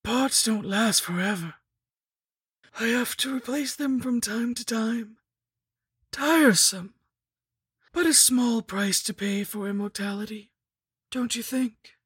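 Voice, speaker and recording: A cruel, ancient undead sorceress from a dark fantasy audiobook. Her voice is pitched high and husky, sweetly menacing and gloating, recorded close and dry. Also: takes it slowly, long pauses, dry recording, exaggerated voice. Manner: mock-sweet and menacing, hissing outbursts.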